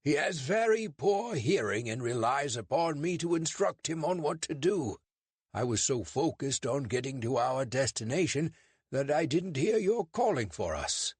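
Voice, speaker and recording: A man's voice: medium pitch, big pitch swings, normal speed, darkish tone, slightly rough, dry recording, pitched above the performer's own voice. A man voicing an American operative faking a British accent, evasive and cheeky.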